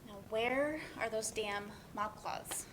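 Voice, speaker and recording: Woman acting wry young woman, fairly high voice, dry delivery, stage play reading, faint background noise. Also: slow. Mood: polite, weary. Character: wry, sarcastic young woman, warm but weary.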